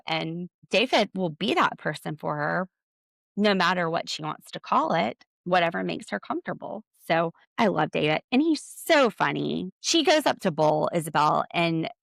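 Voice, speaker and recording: Blunt woman with a gravelly low voice. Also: fairly bright tone, no room sound. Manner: opinionated, blunt, sarcastic asides. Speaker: podcast host. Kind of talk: solo TV recap commentary.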